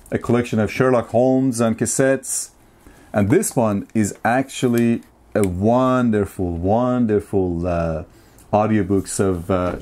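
A man in his forties chatting casually to camera as a vlogger, with an enthusiastic, appreciative and somewhat halting delivery. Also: on the low side, darkish tone. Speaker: vlogger showing a music and tape collection. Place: small home room, indoors.

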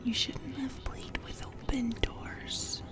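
A woman voicing a possessive sleep paralysis demon in an ASMR horror roleplay, speaking in a breathy, intimate whisper with menacing tenderness. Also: high-pitched, fairly even intonation, slow. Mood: fascinated, possessive. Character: sinister, possessive female sleep paralysis demon, teasing and soothing.

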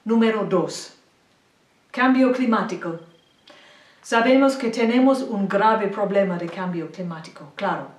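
Slow, careful older woman, roomy sound. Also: mid-range voice, distant mic. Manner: careful, deliberate non-native phrasing. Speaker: presenter. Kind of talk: slide lecture read point by point. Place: small indoor room with a plain wall. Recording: roomy echo, mic set back.

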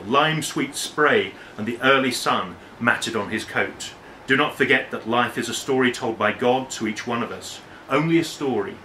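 A man in his forties reads passages aloud to a small audience in a bookshop. Between passages he breaks off for hesitant, self-correcting asides. His voice is bright and forward, and it echoes around the room, caught from some distance with faint background noise. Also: mid-range voice. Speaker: academic reading at a book launch. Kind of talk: public reading with casual asides. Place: bookshop, indoors. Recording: roomy echo from far off.

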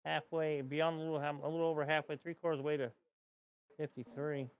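A man's voice: a little high, level tone, fairly quick, clear, resonant tone, no echo, muffled recording. A middle-aged man streaming a game from home, grumbling and talking to himself as he plays. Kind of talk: casual gameplay chat. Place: home gaming room, indoors.

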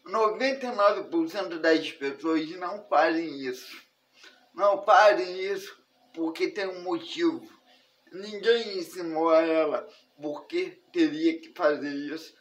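A Brazilian man in his thirties teaching an online lesson to camera, speaking haltingly in short phrases. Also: on the high side. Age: 30s. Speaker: personal finance instructor. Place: indoors in a furnished room at home.

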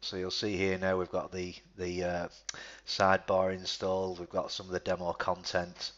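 Low, flat-voiced man walking through a tutorial. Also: moderate pace, dull audio. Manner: step-by-step, many restarts and fillers. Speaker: tutorial presenter. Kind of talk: screen-recorded software tutorial.

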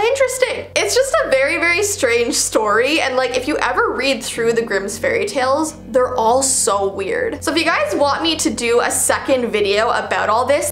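A woman in her twenties telling stories casually to camera, with an animated, informal delivery and dramatic emphasis. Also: high voice, roomy sound. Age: 20s. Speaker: YouTube host. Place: small indoor room with backdrop. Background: background music.

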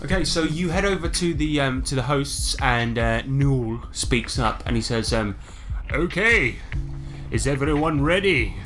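A man's voice: mid-range voice, steady tone, over music. Man narrating a tabletop game. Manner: measured, descriptive storytelling. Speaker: game master.

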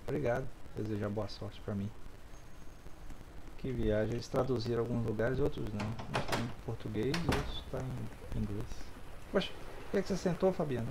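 A middle-aged man with a Northeastern Brazilian accent commentates casually on a game he is playing. His medium-pitched voice has a gravelly creak. He rambles, reads things aloud and questions himself, recorded at home with clear background noise. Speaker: gaming video host.

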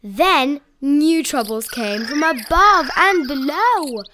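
A young woman reading rhyming children's picture books aloud in an expressive, playful storytelling style. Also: high voice, takes it slowly, a little hiss or hum. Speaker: children's picture book reader.

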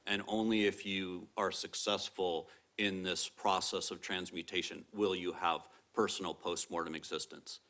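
A middle-aged man with a very low, strong voice that carries, explaining ideas at a table microphone on a lecture theatre stage. He talks in a measured, careful way, hedging with qualifiers as he lays out each point. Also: fairly bright tone. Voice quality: strong, projecting voice. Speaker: panel speaker, professor. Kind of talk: academic panel discussion.

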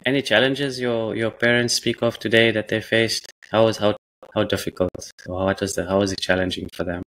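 A man's voice: fairly low pitch, little pitch movement, brisk, fairly bright tone, rough recording. A man hosting a podcast over a video call on a headset, often rephrasing his questions as he asks them. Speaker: podcast host. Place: indoor room.

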